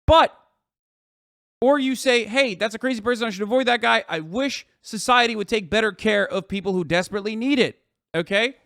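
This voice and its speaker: A man live streaming, reacting to tweets and lecturing his chat in a profane, animated way full of rhetorical "okay?" asides. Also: high voice, brisk, creaky, dry recording, studio quality.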